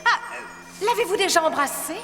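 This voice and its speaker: A bossy elderly cartoon fairy, with an extremely high voice. She scolds impatiently over loud music. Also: expressive. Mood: exasperated. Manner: scolding, exasperated, brisk. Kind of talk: French cartoon dubbing.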